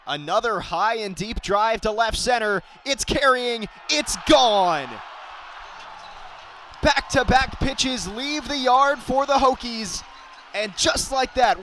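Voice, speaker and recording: A man's voice: high voice, fairly bright tone, slight background noise. A young man calling baseball play-by-play for radio. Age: young adult. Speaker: play-by-play announcer.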